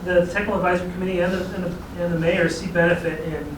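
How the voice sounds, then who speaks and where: A man's voice: sits high, little pitch movement, very fast. A man from a regional planning agency, briefing a city council in an easygoing, explanatory way. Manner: explanatory, easygoing, chuckles at own asides. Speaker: regional transportation planning staff presenter. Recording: echoey chamber, distant mic, clear background noise.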